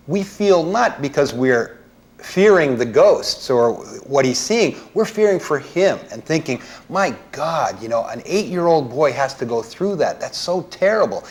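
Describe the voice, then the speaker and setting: Mid-pitched man discussing films. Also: darkish tone. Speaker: guest panelist. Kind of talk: conversational film discussion.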